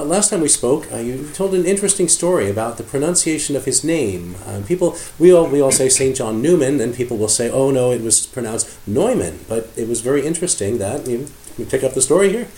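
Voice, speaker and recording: Mellow male radio interviewer, echoey room. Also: mid-range voice. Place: indoor room at a shrine. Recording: roomy echo, faint background noise.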